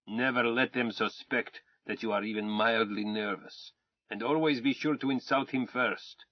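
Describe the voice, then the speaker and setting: Casting: man performing an arrogant older colonel, loud and high, barking orders, dull dry audio. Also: steady tone, normal speed, no echo, close to an everyday voice. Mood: blustering. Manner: pompous, shouting commands. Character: blustering older male Russian colonel, arrogant, bullying.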